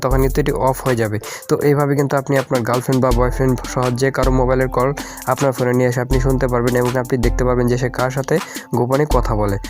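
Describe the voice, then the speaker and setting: Male tutorial host, very rapid and even-toned delivery, instructional and informal, with music underneath. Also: mid-range voice. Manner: repeatedly checks in with the listener. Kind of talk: informal step-by-step video tutorial narration. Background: background music.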